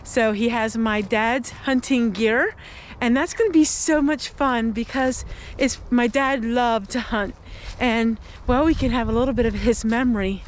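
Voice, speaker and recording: A woman's voice: fairly high pitch, steady tone, measured pace, a little hiss or hum. An older woman with an American accent, hosting a homesteading vlog and chatting to her viewers.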